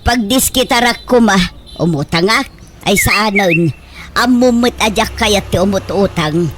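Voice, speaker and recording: A woman's voice: a little slow, natural voice. A woman playing an exasperated, scolding older wife in an Ilocano comedy radio drama. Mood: complaining. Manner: scolding, complaining. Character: nagging, exasperated elderly wife of a lazy husband. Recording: very noisy, vehicle-like background rumble.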